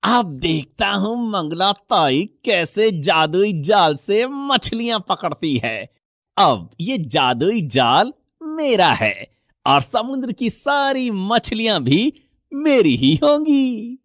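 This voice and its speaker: A man's voice: sits high, very animated, slow pace, fairly bright tone, no room sound, full character voice. A man playing a sly, greedy younger fisherman in a moral story, with a taunting, exaggerated delivery. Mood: gloating. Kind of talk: moral storybook cartoon voice acting.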